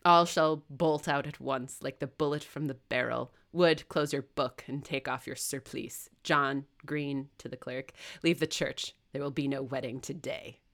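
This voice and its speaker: A woman playing a fierce, defiant nobleman who speaks in loud, scornful declamations. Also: very deep voice, fairly even intonation, measured pace, few pauses, exaggerated voice.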